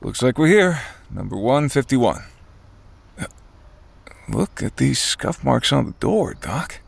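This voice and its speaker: A man's voice: mid-range voice, sing-song, slow, vocal fry, husky, exaggerated voice. A man playing a probing, wry investigative reporter in an audio drama, casual and skeptical.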